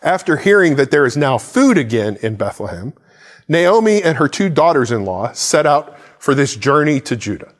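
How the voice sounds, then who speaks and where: A man's voice: fairly high pitch, moderate pace. Preaching man with a reverberant sound. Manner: animated preaching, gesturing with a Bible in hand. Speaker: pastor. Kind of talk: sermon. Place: church stage set with plants and a cross.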